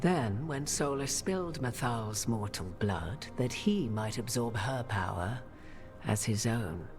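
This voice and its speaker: A woman playing an imperious, enigmatic sorceress in a fantasy game, with archaic, measured and knowing speech. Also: extremely low pitch, animated, a little mellow, faint music behind, exaggerated voice. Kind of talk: dramatic fantasy game dialogue.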